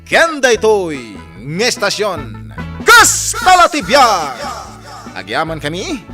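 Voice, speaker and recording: A man with an Ilocano accent announces a radio drama over music. His voice is high for a man and very animated, with theatrical scripted delivery. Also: takes it slowly.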